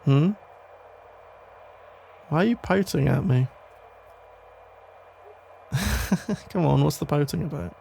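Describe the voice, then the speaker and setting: Male actor for protective police officer ex, ASMR roleplay: very creaky, gravelly voice, tender, halting, soothing delivery over faint background noise. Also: on the high side, warm tone. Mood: teasing, affectionate. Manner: hesitant, reassuring, halting phrases with pauses. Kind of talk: intimate ASMR roleplay, soft conversational acting.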